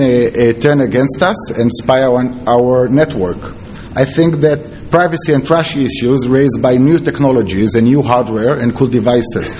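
A man with an Israeli accent giving a conference presentation as a security researcher, in halting, non-fluent English. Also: mid-range voice, mellow, slight background noise. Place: conference talk before an audience.

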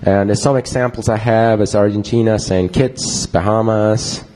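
A man's voice: on the low side, fairly even intonation, normal speed, darkish tone, poor audio. Swedish-accented man giving a talk. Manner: casual, rambling with fillers and restarts. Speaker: conference presenter. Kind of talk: live talk with slides.

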